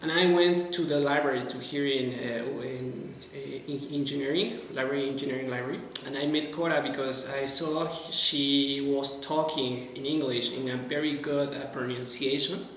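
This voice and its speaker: A man's voice: mid-range voice, steady tone, normal speed, few pauses, fairly bright tone. A man in his twenties with a Mexican accent, a podcast guest answering casually and searching for words as a non-native speaker. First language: Spanish. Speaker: podcast guest, medical graduate. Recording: very echoey hall, faint background noise.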